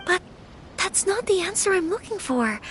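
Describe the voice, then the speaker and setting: An actress playing a gentle young noblewoman in an anime fantasy game, troubled yet firm, with soft, earnest, formal phrasing. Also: very high-pitched, very animated, moderate pace, a little hiss or hum, exaggerated voice. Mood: troubled, reflective.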